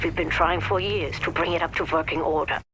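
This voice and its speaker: An actor playing a conspiratorial resistance rebel in a video game, hushed and dramatic in deliberate phrases. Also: male voice, on the high side, steady tone, loud music behind the voice, lightly acted. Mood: urgent, resolute. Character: intense, conspiratorial resistance rebel briefing a soldier.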